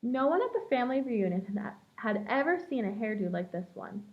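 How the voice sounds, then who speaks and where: A woman with a fairly high voice reads a picture book aloud like a preschool teacher. Her delivery is expressive and shaped for young children. The recording sounds slightly dull and lo-fi. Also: brisk.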